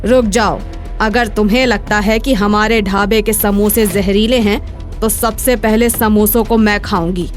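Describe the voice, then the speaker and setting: A woman's voice: mid-range voice, fairly even intonation, a little slow, faint music behind, close to an everyday voice. A woman playing a protective, trusting village mother-in-law, earnest and firm, in a dramatized moral story. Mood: firm, defiant.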